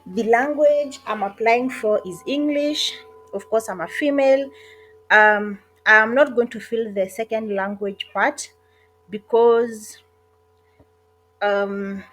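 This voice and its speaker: A woman narrating an online job application walkthrough in a casual, hesitant way, with frequent pauses and self-corrections. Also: a little high, low-quality recording. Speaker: online job tutorial creator. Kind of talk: casual screen-recorded walkthrough.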